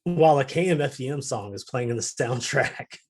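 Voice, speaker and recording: A man's voice: mid-range voice, animated, fairly quick. A man co-hosting a casual chat, talking in an easygoing, reflective way. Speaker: co-host of a gaming chat show.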